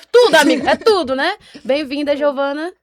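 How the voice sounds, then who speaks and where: A woman's voice: high-pitched, lively intonation, normal speed, no room sound. A woman with a Goiás Brazilian accent hosting a casual podcast in a chatty, slangy, exclamatory way. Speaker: podcast host.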